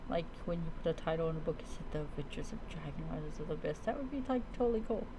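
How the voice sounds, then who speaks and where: A woman giving casual solo commentary while playing a role-play game, musing aloud to herself in an unhurried way. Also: sits low, lively intonation. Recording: clear noise, game ambience behind voice.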